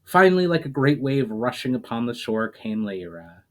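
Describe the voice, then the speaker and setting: A man reads his own fantasy novel aloud at a measured pace. His voice sits at an ordinary middle pitch. Now and then he stops to correct a word. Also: very animated. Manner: measured storytelling with occasional self-corrections.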